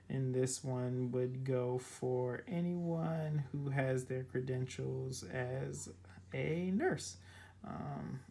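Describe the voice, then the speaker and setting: A young adult vlogger with a raspy, cracking voice, casually walking through an app in a rambling way, full of ums and likes. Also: mid-range voice, a little mellow. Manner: rambling, filler-heavy, with many ums and likes. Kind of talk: casual screen walkthrough.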